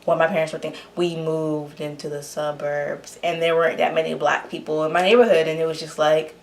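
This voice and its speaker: A woman's voice: sits low, flat delivery, a little fast. A young woman with a New York accent, telling her life story to camera in a chatty way, full of fillers and restarts. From Honduras. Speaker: vlogger.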